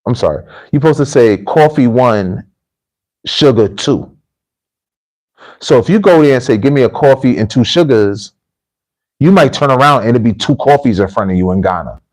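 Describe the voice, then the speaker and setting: A man's voice: mid-range voice, animated, normal speed, pauses often, a little mellow, low-quality recording. A man with an American accent hosting a casual livestream, talking informally to viewers and often repeating his phrases for emphasis. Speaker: livestream host and repatriation coach. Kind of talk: casual livestream talk, addressing viewers and telling anecdotes.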